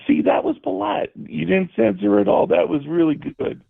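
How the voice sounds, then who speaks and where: Creaky, combative man on a thin call line. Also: high-pitched, big pitch swings, normal speed, no room sound, narrow, tinny audio. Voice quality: soft voice. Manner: combative, sarcastic, talks over others. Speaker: live show caller. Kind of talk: heated argument on a call-in show. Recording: thin, band-limited call audio.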